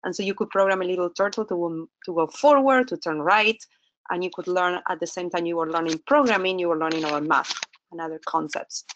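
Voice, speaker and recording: A woman's voice: fairly low pitch, fairly quick. A woman from Argentina in her fifties, with an Argentine accent, giving a lecture over slides as a professor, talking in a conversational way that asks the audience questions, with some hesitations. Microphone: computer microphone in an online webinar. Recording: slightly muffled webinar audio.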